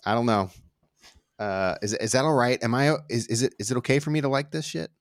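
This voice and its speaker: A man chatting casually as a podcast guest, hesitant and halting, with some swearing. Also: mid-range voice, no room sound, studio quality. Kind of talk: casual chat.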